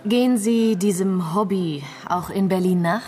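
A woman's voice: medium pitch, a little slow, darkish tone, natural voice. Actress as a pointed, needling woman reporter. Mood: probing. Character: small, probing, provocative female journalist. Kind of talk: radio crime drama acting. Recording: faint music behind.

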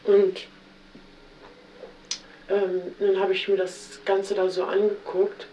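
Junge Frau, halliges Tonband aus der Ferne. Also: female voice, medium pitch, completely flat delivery, mellow, a little hiss or hum. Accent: Berlin German. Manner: hesitant, halting, frequent tag questions. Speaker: interviewee. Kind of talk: candid recorded interview. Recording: roomy, distant 1970s tape recording.

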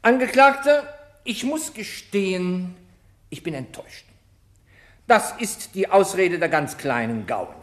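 A haughty male prosecutor in a radio courtroom drama. His high voice swings widely in pitch as he lays on biting irony and theatrical, rhetorical pauses. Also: expressive, fairly bright tone. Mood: mocking. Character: sarcastic, haughty male public prosecutor hounding the accused. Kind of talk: German radio crime play acting, courtroom oratory.

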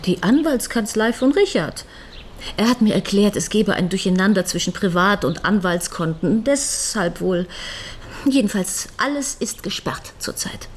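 A woman's voice: mid-range voice, animated, unhurried, slight background noise, natural voice. An actress plays a weary middle-aged widow in a radio crime drama. Her mid-range voice is matter-of-fact and frank, with an impatient, exasperated edge. Light ambience sits behind her. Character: newly widowed lawyer's wife, broke, weary, frank, impatient. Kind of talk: naturalistic German radio crime drama acting.

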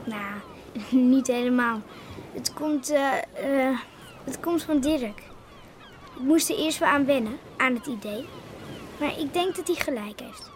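An actress playing an eager, hopeful young woman in expressive, natural Dutch radio drama dialogue. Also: high-pitched, a little slow, long pauses, slight background noise. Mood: hesitant, hopeful.

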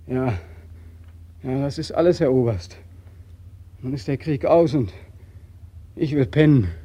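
A high, rough-edged male voice of a sleepless young war veteran. He speaks with theatrical, bitter insistence, as a haunted corporal in a radio drama who cannot let go. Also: dark tone. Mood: urgent pleading. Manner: intense, insistent, declamatory, theatrical. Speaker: actor. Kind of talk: German radio play acting.

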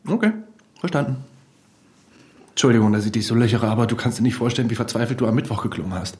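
An actor in a German radio play playing a blunt, prying friend who talks in a chatty, teasing, informal way. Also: male voice, mid-range voice, fast, darkish tone, lightly acted. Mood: probing.

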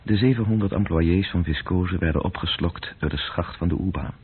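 A man playing a sober, detached storyteller in a radio play, narrating in terse, measured phrases with a husky voice. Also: low voice, full character voice. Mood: sober, observant. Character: sober male storyteller, detached observer of city evening. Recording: muffled phone-like old radio recording.

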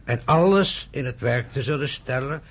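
An actor playing a formal, deliberate, legalistic statesman in a Dutch radio play. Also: medium pitch, husky. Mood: measured, formal. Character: measured, legalistic senior statesman, a doctor.

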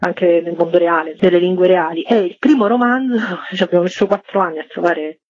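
A woman guest talks through her book in a lively, chatty way. The radio sound is dull and dry, with no room around her voice. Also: on the low side, brisk, no echo. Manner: lively, chatty, laughs while talking. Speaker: author guest. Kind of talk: radio interview.

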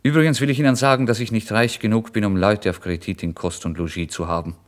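A man acts out an impatient landlady from a storybook drama. The voice is pushed up a little and hurried, curt, businesslike and insistent about money. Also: on the low side, fairly even intonation, lightly acted. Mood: curt irritated.

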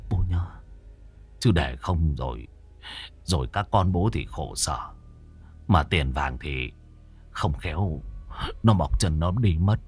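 A man voicing a greedy son who murmurs a prayer to his dead father in a low, hushed whisper. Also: lively intonation, slow pace, long pauses, husky, faint music behind, exaggerated voice. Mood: pleading murmur. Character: grieving greedy son praying at an altar.

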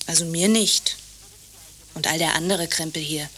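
A woman's voice: sits low, steady tone, moderate pace, sharp tone, slight background noise. An actress playing an aggrieved, jealous young woman in a radio play, speaking in a blunt, reproachful way. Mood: irritated. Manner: pointed, reproachful. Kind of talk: radio play dialogue acting.

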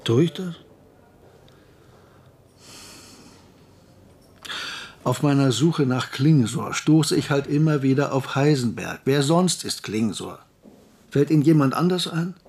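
An embittered, anguished professor in a radio drama. His voice sits at a middle pitch with a husky grain. He talks in an insistent, defensive, rhetorical way. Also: lively intonation, moderate pace, darkish tone. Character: anguished, embittered male German professor recounting his past. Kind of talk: radio drama narration and dialogue.

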